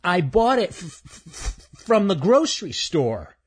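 A man's voice: high-pitched, sing-song, a little slow, husky, pitched above the performer's own voice, heavily acted. A man voicing a timid, indignant male squirrel with a stutter in a children's story. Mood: flustered. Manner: defensive, flustered.

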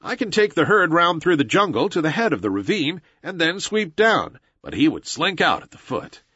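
A man's voice: a little high, sing-song, moderate pace, bright, forceful tone, breathy edge, dry recording, muffled recording, exaggerated voice. A man reading aloud and voicing an eager jungle boy who gives urgent, commanding orders in old-fashioned speech.

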